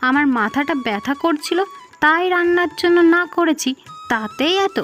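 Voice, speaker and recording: A woman's voice: high-pitched, lively intonation, moderate pace, a bit bright. A woman playing a spoiled, vain young bride in a cartoon, petulant and dismissive toward her in-laws. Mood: indignant, petulant. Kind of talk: Bengali moral-tale cartoon voice acting. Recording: music behind the voice.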